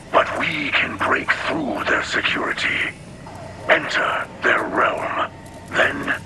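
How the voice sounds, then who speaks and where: A man playing a solemn, devout alien elder in a video game, speaking formally and haltingly in a breathy rasp. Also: mid-range voice, heavy background noise. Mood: solemn, resolute. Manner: formal, deliberate, halting phrasing. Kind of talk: cinematic video game dialogue. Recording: loud music behind the voice. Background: loud game soundtrack.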